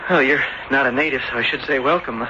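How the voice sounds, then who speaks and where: An actor playing an earnest, adventurous young sailor in an old-time radio drama, speaking haltingly and politely. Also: male voice, mid-range voice, sharp tone. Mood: polite, puzzled. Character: earnest, adventurous young seafaring farmer's son. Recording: dull, noisy old radio recording.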